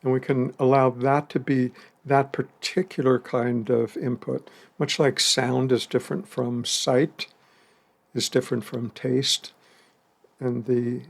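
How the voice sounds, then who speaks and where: A man leading a guided meditation, speaking slowly and gently with long pauses and the odd soft laugh. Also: mid-range voice, gravelly. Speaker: meditation teacher.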